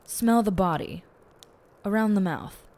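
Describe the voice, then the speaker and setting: A woman playing a smug, theatrical, teasingly bossy lead detective in an audio roleplay, with pompous and deliberate phrasing. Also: medium pitch, darkish tone, natural voice. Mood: probing, pleased.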